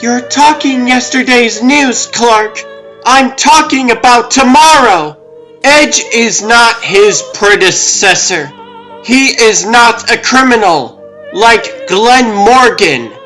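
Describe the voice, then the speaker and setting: Guy doing high bright lady reporter, confident n insistent, slow emphatic comic dub delivery. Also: animated, faint music behind, full character voice, poor audio. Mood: exasperated, insistent. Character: confident, ambitious adult woman reporter arguing her case. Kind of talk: theatrical comic book dub.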